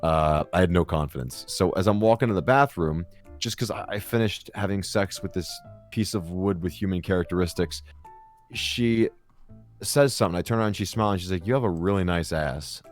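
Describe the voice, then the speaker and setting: Deep-voiced man telling crude stories. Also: a little fast, faint music behind. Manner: crude, rambling storyteller who swears a lot. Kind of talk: casual comedic chat.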